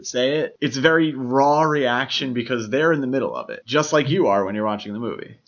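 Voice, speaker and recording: The audio is a little dull and lo-fi. A man with a mid-pitched voice co-hosts a casual film podcast. He talks in an explanatory, opinionated way. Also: lively intonation, brisk. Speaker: podcast co-host.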